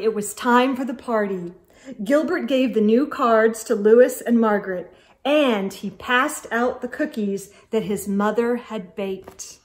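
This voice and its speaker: A middle-aged woman reads a picture book aloud for children, expressive and carefully phrased, as a storytime host. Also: on the high side. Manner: deliberate, clearly phrased delivery aimed at young children. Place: indoor room with bookshelves.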